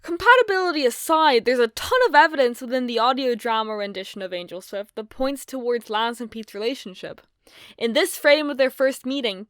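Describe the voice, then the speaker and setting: A woman's voice: on the high side, a bit bright, dry recording, studio quality. A woman co-hosting a video essay and reading from a prepared script.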